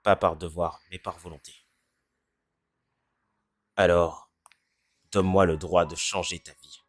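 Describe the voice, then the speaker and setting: A performer voicing a cynical, self-deprecating teenage boy in a French fan dub who speaks in a deadpan way. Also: deep voice, measured pace. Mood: earnest. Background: faint music.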